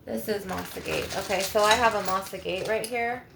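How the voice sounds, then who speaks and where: A woman's voice: medium pitch, fairly quick, creaky, background noise. A woman hosting a casual spiritual ASMR healing session, speaking informally and encouragingly straight to the listener. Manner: informal, encouraging, repeatedly addressing the listener directly. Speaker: ASMR energy healer channel host. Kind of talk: ASMR-style spiritual coaching, casual chat.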